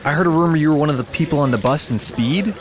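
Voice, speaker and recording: A man's voice: on the high side, quick pace, a crowd in the background, natural voice. A man playing an eager, starstruck recruit in a radio drama, gushing and deferential. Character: eager starstruck male recruit, aspiring actor. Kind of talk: post-apocalyptic radio drama acting.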